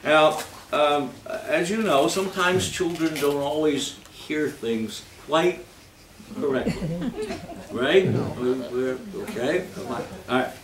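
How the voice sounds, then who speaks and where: A man hosts a live stage reading at a slow pace, stumbling and repeating himself in a joking way. He is picked up from a distance in an echoey, noisy room. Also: on the high side, crowd noise. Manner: halting, repeats words, jokey. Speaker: host of a live holiday readers theater. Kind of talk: informal live stage presentation with comic readings. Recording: echoey, noisy hall recorded from afar.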